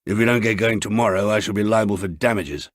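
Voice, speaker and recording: A man's voice: on the low side, fast, dry recording, studio quality, close to an everyday voice. A man voicing an irritable, hard-drinking sailing man with a British accent in a story reading. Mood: grumbling.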